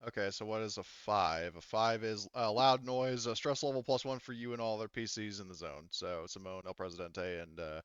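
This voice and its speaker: A man with a crisp, forceful voice runs a tabletop game in a casual, sweary way. He explains rules in an animated manner and laughs easily. The sound is dry and a little lo-fi. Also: lowish voice, fairly quick, few pauses, no room sound. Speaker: game master.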